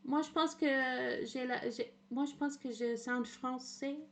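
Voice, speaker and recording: A woman's voice: high voice, fairly even intonation, unhurried. An Englishwoman with an English accent, speaking French as a streamer in casual commentary, hesitant with many fillers and English words slipped in. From England. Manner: hesitant, frequent "euh" fillers, mixes in English words, laughs often.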